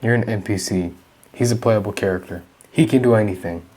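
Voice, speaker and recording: A man's voice: lowish voice, brisk, darkish tone. A man giving casual, laid-back commentary over his gameplay, with mumbled asides. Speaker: gaming youtuber.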